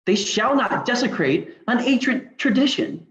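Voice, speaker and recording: Stubborn old-fashioned villager, radio play: archaic, halting diction, very slow delivery, echoey sound. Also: male voice, extremely high pitch, expressive, a bit bright, exaggerated voice. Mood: defensive, wary. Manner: halting, archaic thee-and-thou speech. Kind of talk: old-time radio drama reading. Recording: dull, echoey lo-fi audio.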